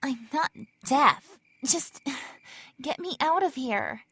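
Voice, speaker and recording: An injured, sarcastic teenage mean girl in an audio drama, played by a woman. Her high, bright voice swoops with expression and stays biting and demanding. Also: expressive, slow, exaggerated voice. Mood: scornful, pained.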